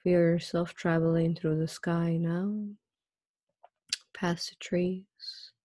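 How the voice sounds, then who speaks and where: A woman leading a guided meditation in a soft, breathy voice, soothing and hypnotic, with drawn-out, repeated phrases. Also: fairly low pitch, little pitch movement, no echo.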